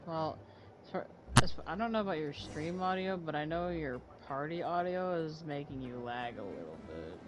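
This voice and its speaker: A man's voice: on the high side, animated, moderate pace, heavy background noise. A man talking casually over an online party voice chat. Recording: dull party-chat audio under loud music.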